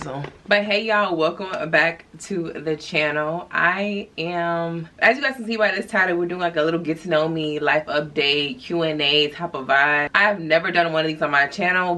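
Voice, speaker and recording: Low-voiced new girl in her 20s, rambly casual vlog talk, bright sound. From New York. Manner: chatty, rambling, slangy with lots of "like" and "y'all". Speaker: vlogger. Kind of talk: casual chat to camera.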